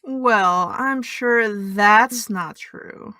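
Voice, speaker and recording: A woman's voice: fairly high pitch, drawn-out words, pushed and bright, no room sound, clean studio sound, heavily acted. An actress playing a snarky teenage girl who co-hosts a podcast and reads the mailbag with teasing sarcasm. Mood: sarcastic. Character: snarky teen girl podcaster reading mail. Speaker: podcast co-host.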